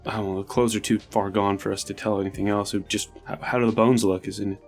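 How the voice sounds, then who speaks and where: A man's voice: on the low side, flat delivery, fast talker, darkish tone, soft background music. A man chatting casually as a player in a tabletop roleplaying game.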